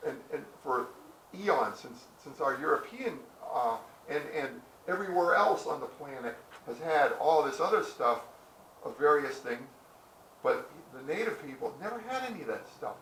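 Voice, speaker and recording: A man's voice: on the high side, lively intonation, moderate pace, fairly bright tone. A middle-aged man giving a casual, rambling talk to a small audience, full of restarts and asides. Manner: rambling, folksy, many restarts. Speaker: presenter. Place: outdoor historic site with listeners. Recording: roomy, distant mic with background noise.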